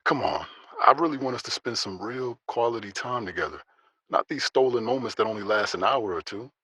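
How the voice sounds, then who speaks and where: A man's voice: on the low side, expressive, brisk, bright, forceful tone, breathy edge, dry recording, exaggerated voice. A man acting in an audio drama as a persuasive, yearning secret lover, speaking in a coaxing, intimate way.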